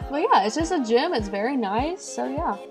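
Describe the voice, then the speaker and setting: A woman's voice: high voice, lively intonation, measured pace. A woman co-hosting a game tour, chatting casually and reacting with enthusiasm. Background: background music.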